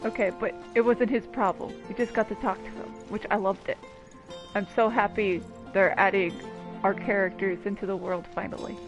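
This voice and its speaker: A woman's voice: mid-range voice, fairly even intonation, moderate pace, lo-fi sound. A woman streamer giving casual playthrough commentary, full of sarcastic, teasing asides to the characters. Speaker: game streamer. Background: video game music.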